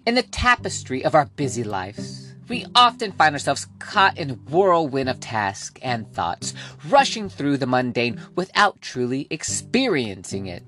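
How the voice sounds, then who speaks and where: A man's voice: mid-range voice, big pitch swings, measured pace, pushed and bright, soft background music. A man hosting a motivational podcast, delivering a scripted monologue in a hyped, playful way and drawing out key words for drama. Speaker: podcast host.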